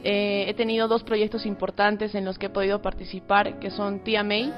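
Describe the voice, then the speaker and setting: Even-toned Peruvian woman, slightly dull recording. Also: mid-range voice, normal speed. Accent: Cusco Peruvian. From Cusco, Peru. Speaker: singer-songwriter guest. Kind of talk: casual interview answers. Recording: slightly dull sound, faint music behind.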